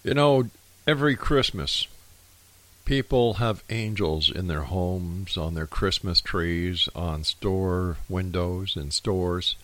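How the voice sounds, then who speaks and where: A man's voice: low-pitched, takes it slowly, a bit bright. A man hosting a radio talk show, thanking his guest and signing off in a friendly announcer manner.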